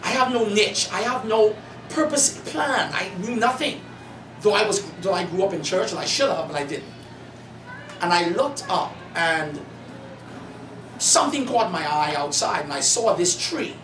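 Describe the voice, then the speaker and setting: A man in his thirties from the Bahamas, an author and pastor telling his life story in earnest, building to animated emphasis at a cafe event. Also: high-pitched, unhurried. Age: 30s. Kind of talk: personal testimony at a bookstore event. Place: coffee cafe in a shopping mall. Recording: roomy, distant, noisy cafe recording.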